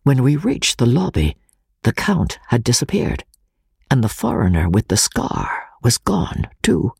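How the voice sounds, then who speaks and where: Casting: woman audiobook narrator, low-to-mid voice, husky and creaky, very animated, theatrical suspense. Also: a little slow, dry recording, studio quality. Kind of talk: dramatic scripted narration of classic fiction.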